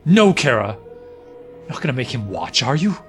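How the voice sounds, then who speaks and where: A man's voice: medium pitch, sing-song, moderate pace, a bit bright, soft background music. An actor playing a weary, frightened husband and father in an audio drama, pleading and defensive. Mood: panicked, pleading.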